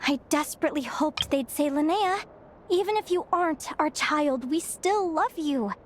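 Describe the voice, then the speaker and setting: A woman voicing an earnest young Fae girl in a game cutscene, talking in a soft, reflective, confiding way. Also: high voice, slight background noise.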